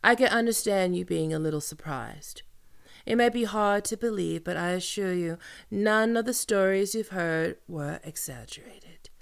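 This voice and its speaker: A woman playing a commanding, mocking and seductive female pirate captain in an audio roleplay, with theatrical, teasing, drawn-out menace. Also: on the low side, vocal fry. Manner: theatrical, teasing, drawn-out menacing delivery with archaic turns of phrase. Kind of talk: ASMR roleplay acting.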